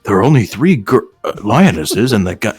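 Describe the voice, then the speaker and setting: A man voicing a brooding lion, once a murdered human child, in a halting, mock-serious fan fiction reading. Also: fairly low pitch, very animated, a little mellow, slightly rough, dry recording, studio quality. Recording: music behind the voice.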